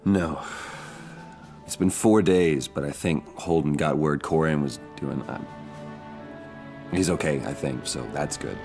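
An actor playing a troubled, stoic army squad leader in a game, speaking in a subdued, halting manner. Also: male voice, a bass voice. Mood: subdued, guilty. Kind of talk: dramatic game cutscene acting. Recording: music behind the dialogue.

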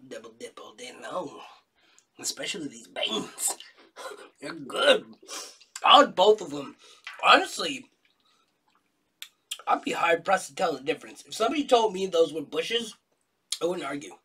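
Young man, nasal from a cold, bright voice, husky tone, heard from across a room. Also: on the high side, unhurried, pauses often, distant mic. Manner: jokey, animated, laughs often. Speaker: co-host. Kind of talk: casual taste-test chat. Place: dining room at home.

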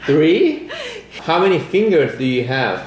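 A man's voice: a little high, huge pitch swings, slight background noise. Man, patient, echoey room. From Thailand. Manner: patient, quick agreeing fillers. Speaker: father tutoring his son. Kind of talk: casual home chat and English practice. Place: indoors at a home dining table. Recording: echoey home room with music.

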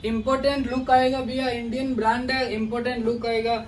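A man in his thirties presenting jeans to camera in a large clothing store, in an energetic hard-selling pitch that keeps calling viewers brother. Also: brisk, distant mic, low-quality recording. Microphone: camera mic at a distance. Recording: voice from off mic with faint room noise.

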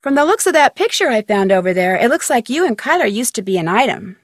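A woman voicing an inquisitive, polite young female detective in a video game, with expressive delivery. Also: fairly high pitch, fast talker, dry recording, clean studio sound. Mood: curious, probing.

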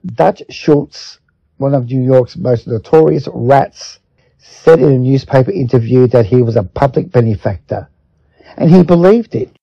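A man reading a self-help book aloud as an audiobook, in measured phrase-by-phrase narration. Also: medium pitch, lively intonation, vocal fry, mellow, husky. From Australia. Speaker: audiobook reader. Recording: dull lo-fi recording.

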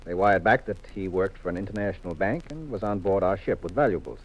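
An actor playing a confident, teasing American husband detective in a 1950s radio mystery, with a wry, assured manner. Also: male voice, low voice, fast, breathy edge, no echo. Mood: relaxed, reassuring. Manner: wry, assured, leading questions. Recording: muffled vintage radio recording.

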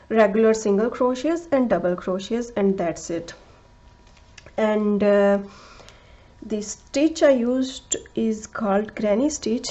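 A woman who speaks English as a second language gives a crochet tutorial, talking slowly and carefully, with many fillers and restarts, and counting stitches aloud. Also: medium pitch, warm tone, muffled recording. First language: not English. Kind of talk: step-by-step craft tutorial.

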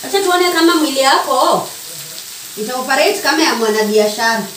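A woman's voice: high voice, expressive, brisk, a little hiss or hum. A young Kenyan woman chatting casually and teasing while she cooks at home, mixing slang and English. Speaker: vlogger. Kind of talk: casual chat while cooking. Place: small home kitchen, indoors. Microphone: camera on the counter, a few feet away. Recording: echoey kitchen, far mic, loud sizzling. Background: frying and sizzling pans.

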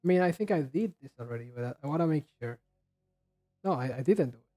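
A man giving casual commentary as a reaction video host, rambling haltingly and often repeating and restarting his words. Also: a little high, lively intonation, dark tone. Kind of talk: casual commentary on game trailers.

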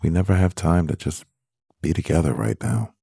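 A man playing a stressed, devoted CEO boyfriend in an intimate ASMR roleplay, murmuring with a husky, gravelly voice and pauses. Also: very deep voice, expressive, dark tone, no echo, clean studio sound, heavily acted. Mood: weary, tender.

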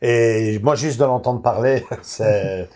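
A man's voice: medium pitch, takes it slowly, a little mellow. A middle-aged man, hosting a casual film-collecting chat in a living room, full of fillers and restarts. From Belgium. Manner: hesitant, many fillers and restarts. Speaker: host.

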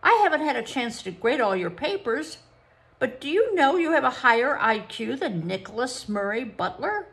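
A bossy, schoolmarmish lady psychologist, as a female narrator puts her on, pitched high and loud, with a sing-song voice that swoops up and down. She is prim and condescending, and turns shrill and spiteful when she gets worked up. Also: big pitch swings, normal speed, gravelly, full character voice. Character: prim, officious woman psychologist, excitable and spiteful.

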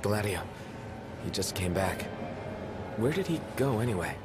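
A man's voice: lowish voice, big pitch swings, unhurried, background noise. A man playing a moody, laconic young prince in a video game, terse, subdued and casual.

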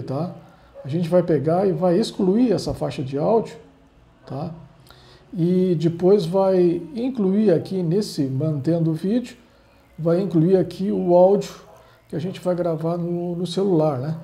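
A man's voice: sits high, creaky, dark tone. A man hosting a casual how-to tutorial, rambling with constant filler tags, filmed indoors at a table. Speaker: channel host. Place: indoors at a table, filming objects and a laptop screen.

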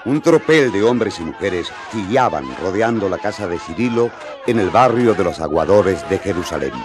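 A man's voice: sits low, lively intonation, moderate pace. A man narrating a radio drama as a vivid, dramatic storyteller. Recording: crowd noise behind.

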